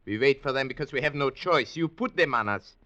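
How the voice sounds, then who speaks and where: Proud violent outlaw, 1940s radio drama, curt, forceful bright tone, animated delivery. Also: male voice, mid-range voice, brisk. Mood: threatening. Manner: curt, threatening. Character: accusing, proud, violent male criminal on the run.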